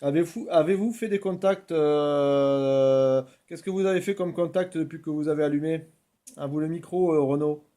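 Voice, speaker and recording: Mellow, slightly high-voiced radio operator man. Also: normal speed. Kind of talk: casual radio contact chat.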